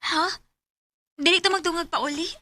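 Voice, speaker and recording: A woman's voice: very high voice, fairly even intonation, moderate pace, pushed and bright. An actress playing a hesitant, plaintive young woman secretly in love, in a radio drama. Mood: uneasy. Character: lovelorn young woman secretly in love with her best friend.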